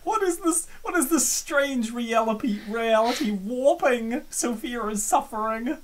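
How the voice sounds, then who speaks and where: A woman giving excitable, theatrical, joking reaction commentary as narrator of a comic dub. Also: on the high side, unhurried, a little hiss or hum.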